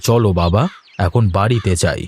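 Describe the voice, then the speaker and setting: A man's voice: low voice, moderate pace, a little mellow, a little hiss or hum. Man playing a plain-spoken village farmer father. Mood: gently instructing. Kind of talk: cartoon dialogue acting.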